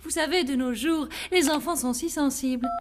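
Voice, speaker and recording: A woman playing an excitable, dramatic teacher. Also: high voice, moderate pace, background noise, lightly acted. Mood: sugary. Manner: breathless, dramatic, conspiratorial. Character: excitable, suspicious young woman schoolteacher. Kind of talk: French cartoon dub. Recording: studio dub with faint music.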